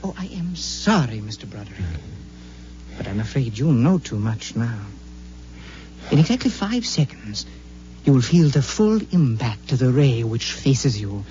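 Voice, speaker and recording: An actor playing a suave, cruel Martian villain who talks with formal, menacing courtesy in 1950s radio drama style. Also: male voice, mid-range voice, sing-song, measured pace, warm tone, soft background music. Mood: cool menace. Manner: formal, over-polite, menacing, savouring words. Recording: dull, noisy old radio broadcast.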